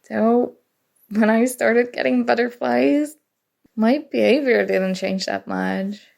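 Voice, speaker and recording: A woman playing a shy, awkward college-age roommate in an intimate ASMR roleplay, speaking haltingly and nervously. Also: fairly high pitch, vocal fry, a little mellow, exaggerated voice. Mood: nervous confession. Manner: hesitant, halting, sighing.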